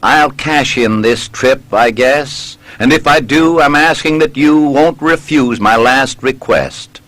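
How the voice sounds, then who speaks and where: A man's voice: mid-range voice, slow pace, fairly bright tone, no echo, rough recording. A man voicing an ailing, plaintive gold seeker from the South who feels the cold, in a recited narrative poem. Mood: pleading, miserable.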